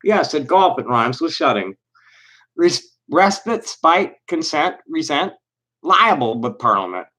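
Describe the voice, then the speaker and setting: A man hosting a solo livestream, reading aloud slowly and deliberately, then chatting in a halting, rambling way with many restarts. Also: a little high, big pitch swings, pushed and bright. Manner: reads aloud with deliberate slow pauses. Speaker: livestream host. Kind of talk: casual solo livestream chat.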